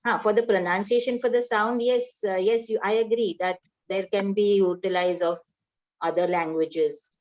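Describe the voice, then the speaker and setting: A woman's voice: mid-range voice, fairly even intonation, normal speed, darkish tone. Woman, slightly dull sound. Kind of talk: casual discussion.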